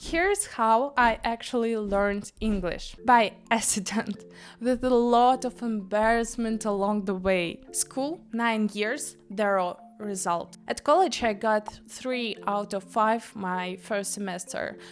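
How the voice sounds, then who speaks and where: A woman's voice: on the high side, takes it slowly. A young woman from Kyrgyzstan with a Russian accent, narrating a personal essay on her channel in a reflective way, with light laughs. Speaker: channel host.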